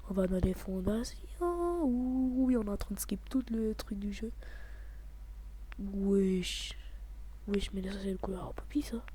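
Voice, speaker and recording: Hushed, excitable young French gamer guy. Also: high voice, measured pace, slight background noise. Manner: hushed, half-whispered delivery; excitable, slangy, repeats exclamations. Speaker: gaming commentator. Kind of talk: casual gameplay commentary.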